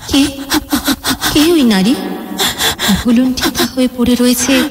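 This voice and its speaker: A woman's voice: high voice, noisy background. Echoing, wondering spirit of the Century, played by a woman. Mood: alarmed wonder. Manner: wondering, dramatic, measured delivery. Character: ageless spirit of the Century, curious, compassionate witness. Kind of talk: theatrical audio drama. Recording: echoey hall-like reverb under music.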